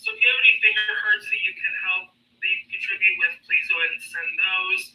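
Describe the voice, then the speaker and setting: Guy co-hosting a live stream, really high bright voice, rambly, sounds off from the mic. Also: fast talker. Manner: chatty, rambling, filler-heavy. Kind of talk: live-stream audience appeals. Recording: distant from the mic.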